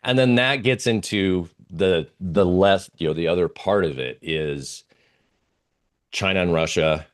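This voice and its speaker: A man giving unscripted analysis as an interview guest, talking through slides in a halting, rambling way with pauses and repeated phrases. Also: low voice. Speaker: interview guest, geopolitical oil trading analyst.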